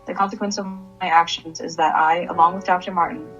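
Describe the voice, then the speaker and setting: A woman's voice: fairly low pitch, fairly even intonation, a little fast, a bit bright, highs cut off, soft background music, close to an everyday voice. An actress playing a grief-crazed, vengeful mother in a radio play, intense and commanding. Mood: remorseful narration. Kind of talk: dramatic radio play acting with narration.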